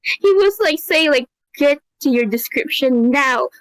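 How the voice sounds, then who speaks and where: A woman's voice: lively intonation, a little slow, poor audio. A young woman chatting casually on a live stream, rambling and giggling often. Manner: giggly, rambling, high-pitched.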